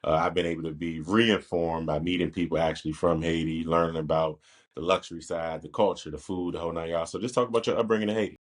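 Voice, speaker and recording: A man from Washington, D.C. hosting a casual podcast, easygoing and quick to laugh. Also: low-pitched, steady tone. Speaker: podcast host.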